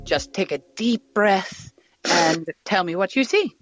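A woman's voice: mid-range voice, expressive, a little slow, no echo, faint music behind. An actress playing a poised, persuasive woman scientist, formal and polished, in an audio drama. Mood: gently firm.

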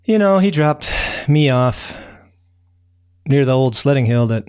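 A man's voice: medium pitch, lively intonation, a little slow, darkish tone, clear, resonant tone, close to an everyday voice. A man voicing a friendly, chatty raccoon who rambles through a bedtime tale with many fillers. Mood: easygoing. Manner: rambling, folksy, lots of fillers. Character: friendly chatty male raccoon, easygoing storyteller. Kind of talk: bedtime storytelling.

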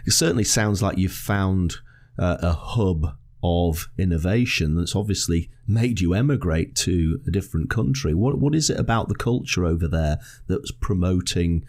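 A man's voice: sits low, normal speed, a little mellow. A man hosting a podcast, giving an upbeat scripted intro and asking interview questions. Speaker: podcast host.

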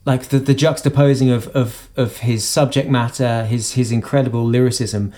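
A singer-songwriter from the UK, talking in an interview about his singing, with a high voice and halting speech full of restarts. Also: level tone, warm tone. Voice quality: self-described high voice. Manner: halting, many restarts and repeated words. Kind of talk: conversational interview.

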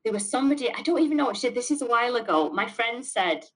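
A woman's voice: fairly high pitch, expressive, fast, fairly bright tone. Emphatic middle-aged Mancunian woman. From north of England. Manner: emphatic, repeats words for stress. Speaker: business owner being interviewed. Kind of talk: candid interview answers.

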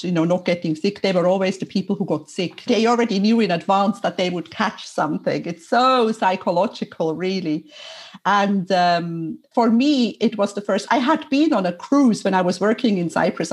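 A woman who once worked as a tour guide, chatting and reminiscing casually, lively and full of questions. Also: medium pitch, husky. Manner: lively, asks questions, animated.

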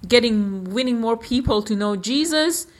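A woman's voice: fairly high pitch, expressive, moderate pace. A woman co-hosting a casual podcast, a non-native English speaker whose phrasing drops small words. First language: not English. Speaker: podcast co-host. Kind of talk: casual conversational talk.

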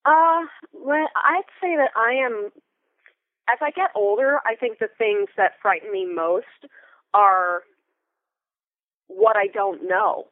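A woman's voice: a little high, slow, long pauses, no room sound. A middle-aged American woman speaking as an interview guest in articulate, wordy conversation over a phone-like connection. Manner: wordy, articulate phrasing with vivid examples. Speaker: interview guest, theater Foley artist. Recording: band-limited phone-like line.

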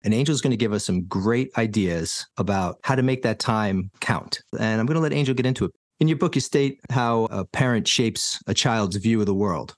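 A man with a fairly low voice hosts the interview, asking questions and answering his guest warmly and conversationally. His sound is dry and close, with no room echo. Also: normal speed, few pauses. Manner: warm, affirming, conversational. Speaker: podcast host. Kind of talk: interview hosting.

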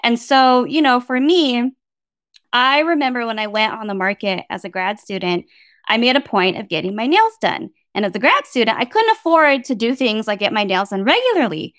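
Frank American woman, higher-pitched voice. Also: animated, normal speed, a bit bright, no room sound, clean studio sound. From San Francisco Bay Area, United States. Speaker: guest academic. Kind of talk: candid conversational interview answer.

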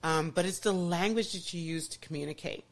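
A woman's voice: lowish voice, a little fast. A woman hosting a podcast, talking enthusiastically in casual chat with many restarts and "you know"s. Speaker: podcast host.

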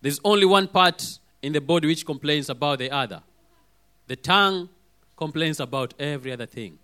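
Hoarse, lively man preaching a sermon. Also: fairly high pitch, normal speed. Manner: lively, calls for amens, acts out quoted voices.

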